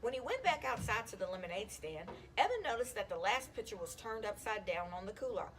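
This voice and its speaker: A woman reads a children's storybook aloud in an expressive, animated way. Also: mid-range voice, fairly quick. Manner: animated, acting out the children's dialogue. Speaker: school counselor reading a children's book aloud.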